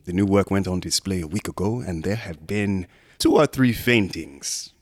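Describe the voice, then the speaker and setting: An actor playing a smug, unctuous museum assistant in a horror radio drama, courteous and insinuating, sometimes dropping into whispers. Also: male voice, low-pitched, moderate pace. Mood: oily politeness. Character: sinister, smug, unctuous museum assistant guarding macabre exhibits.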